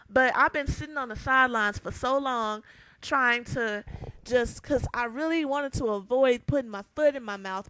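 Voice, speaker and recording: Raspy, heated woman ranting to camera. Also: high voice, fairly bright tone, no echo, poor audio. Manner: heated, emphatic, repeats points. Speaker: vlogger.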